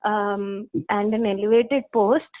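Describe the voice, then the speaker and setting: A woman taking part in an online class, speaking politely and slowly with frequent fillers. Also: medium pitch, steady tone. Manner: polite and measured, many fillers. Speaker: class participant. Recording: thin phone-line sound.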